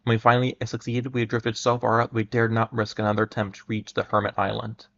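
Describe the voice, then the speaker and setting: A man with a lowish voice full of gravelly fry speaks as a livestream host reading aloud. His delivery is halting, with stumbles and repeated words. The sound is dull and lo-fi. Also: completely flat delivery, a bit bright. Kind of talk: dramatic reading and casual livestream chat.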